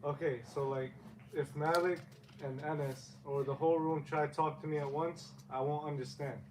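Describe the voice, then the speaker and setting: A man in a mosque class asking the teacher a question, halting and searching for his words. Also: fairly high pitch, fairly even intonation, a little mellow. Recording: distant from the mic with faint background hum.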